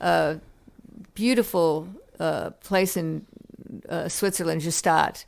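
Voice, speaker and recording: Lowish gravelly american woman, middle-aged musician chatting in an interview, lots of ums and restarts. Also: fairly even intonation, takes it slowly. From United States. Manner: reflective storytelling with many restarts and fillers. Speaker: musician guest. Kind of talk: casual interview conversation.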